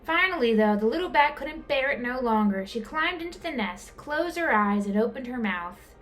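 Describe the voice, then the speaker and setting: High, animated woman storyteller. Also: moderate pace, faint music behind. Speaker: storyteller reading aloud to children. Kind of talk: expressive children's storybook narration. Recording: clear background noise.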